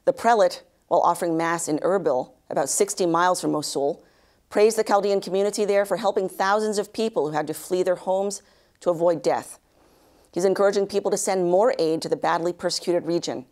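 Low-voiced woman reading studio news. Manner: measured, even delivery read from a prompter. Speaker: news anchor. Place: studio news set, indoors.

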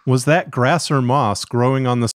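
A man's voice: mid-range voice, moderate pace, no echo, clean studio sound. A man reading scripted narrative fiction as a podcast narrator. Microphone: close studio mic.

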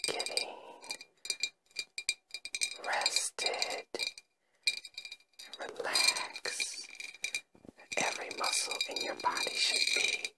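A woman's voice: very slow, pauses often. A middle-aged woman whispering breathily in a soothing, coaxing ASMR style, recorded indoors on a lapel mic. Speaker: ASMR host. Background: glass tapping and clinking.

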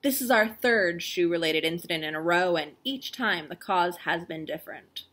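An ominous, solemn storyteller acted by a young woman delivers a mock-dramatic reading. The delivery is deliberate and grave, as if telling a suspense tale in an online video. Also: fairly low pitch, animated, a bit bright, pitched below the performer's own voice.

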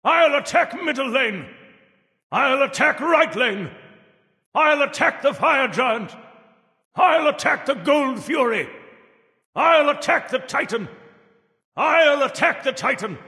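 A man's voice: extremely high pitch, bright, forceful tone, full character voice. An actor playing a jovial, grandfatherly old Father Time in a video game, theatrical, emphatic and folksy. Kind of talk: video game character voice lines. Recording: long reverberant echo.

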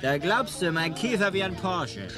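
A brash young loudmouth in a radio play, with a raised, swooping voice and slangy swagger. Loud music plays behind him as he shows off like the rowdiest drinker at the bar. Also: high voice, expressive, moderate pace. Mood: excited, swaggering. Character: boastful, rowdy young male drinker and car show-off. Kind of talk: German radio play acting.

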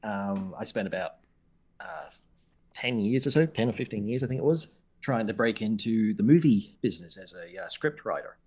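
A man's voice: fairly low pitch, expressive, moderate pace, darkish tone, slightly rough. An Australian man in his thirties, talking as a guest author over a headset video call, self-deprecating, laughing often and repeating words as he starts. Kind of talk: casual video-call interview.

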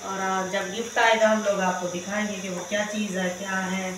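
Middle-aged woman chatting to viewers on a phone cam, echoey, loud noise behind. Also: medium pitch, level tone, normal speed. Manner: chatty, addresses viewers directly. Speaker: vlogger. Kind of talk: casual daily vlog narration. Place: home, then busy outdoor market.

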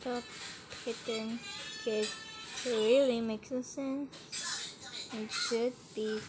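Giggly teen girl, high voice, drawn-out pace, talking into her phone. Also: steady tone, over music. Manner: giggly, chatty, easily distracted. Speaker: vlogger. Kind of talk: casual phone screen-recording commentary. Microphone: phone mic.

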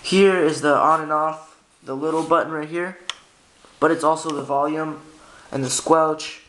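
A man's voice: fairly high pitch, steady tone, normal speed, fairly bright tone. A man giving a casual, unscripted gear walkthrough in a hesitant, informal way, often correcting himself, recorded on a handheld camera indoors. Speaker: hobbyist demonstrating a radio. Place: indoor room by a window. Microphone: handheld camera's built-in microphone. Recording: noisy amateur camera audio with clicks.

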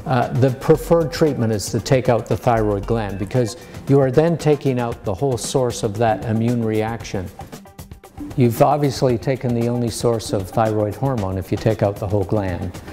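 An American man in his sixties, a surgeon explaining medicine to a lay audience in a measured, plain-spoken way. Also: mid-range voice, warm tone, faint music behind. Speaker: surgeon giving a public lecture. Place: medium-sized lecture room.

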